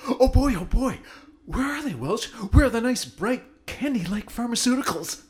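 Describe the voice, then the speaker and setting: A man's voice: very high-pitched, expressive, moderate pace. An actor playing a greedy, self-important starship crewman in a sci-fi parody audio drama, whiny and melodramatic. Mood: eager, pleading.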